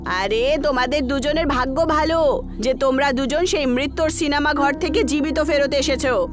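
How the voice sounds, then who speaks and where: Woman voicing a high-pitched, concerned landlady. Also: normal speed, natural voice. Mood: relieved. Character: concerned, brisk female landlady. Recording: background music under the voice.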